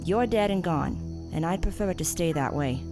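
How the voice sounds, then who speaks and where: A woman's voice: fairly low pitch, expressive, moderate pace, over music. An actress playing a young woman seeking cold, determined revenge for her orphanage. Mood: vengeful. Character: vengeful young woman killer, orphan raised. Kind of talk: audio drama acting.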